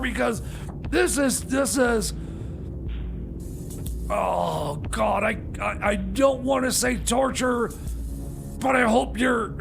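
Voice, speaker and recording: A man's voice: very high-pitched, gravelly, a bit bright, slight background noise. A man hosting a music radio show off the cuff, flustered and hesitant, stammering and grumbling as he goes. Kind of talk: live ad-libbed comic music radio patter.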